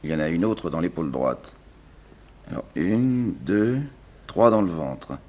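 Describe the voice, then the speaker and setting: A man's voice: deep voice, very animated, measured pace, mellow, heavily acted. An actor playing a solemn, matter-of-fact male doctor in a 1960s French radio drama, grave and clinical. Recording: faint noise on old radio recording.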